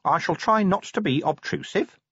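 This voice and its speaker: A man's voice: sits high, expressive, normal speed, fairly bright tone, slightly rough, no room sound, highs cut off, pitched above the performer's own voice, exaggerated voice. A man voicing a small, prim, pedantic inspector for an audiobook, formal and precise, with polite hesitant openings. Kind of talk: audiobook character acting.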